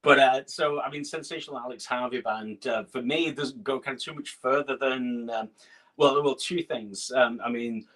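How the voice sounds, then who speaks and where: A man's voice: mid-range voice, moderate pace. A middle-aged man with a northern English accent, a guest chatting casually on a video call, hesitant with many fillers and restarts. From Scotland. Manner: hesitant, lots of fillers and restarts, soft laughs. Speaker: guest musician. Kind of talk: casual interview chat.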